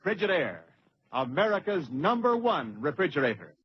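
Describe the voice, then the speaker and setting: A man's voice: a little high, big pitch swings, moderate pace, breathy edge, dry recording. A man announcing a scripted old-time radio advertisement in an emphatic, declamatory way. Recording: dull vintage radio recording, faint noise.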